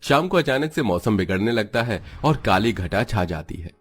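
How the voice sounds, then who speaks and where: Low-pitched male story narrator. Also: expressive, moderate pace, breathy edge, soft background music. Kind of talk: scripted children's story narration.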